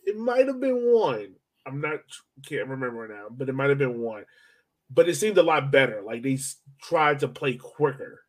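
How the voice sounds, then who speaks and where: A man's voice: medium pitch, sing-song, moderate pace. A man co-hosting a soccer podcast, chatting informally and with slang like a fan. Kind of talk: casual sports fan chat.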